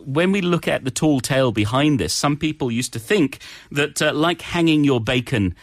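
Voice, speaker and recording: A man's voice: fairly high pitch, animated, normal speed, no room sound. A man co-hosting a show about English expressions, explaining them conversationally with examples in a chatty way.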